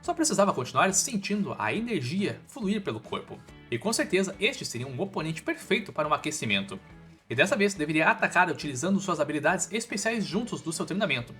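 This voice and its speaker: A man's voice: a little high, sing-song, a little fast, bright, forceful tone. A man with a Brazilian accent narrating a scripted story recap in a colloquial, informal way with casual asides. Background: background music.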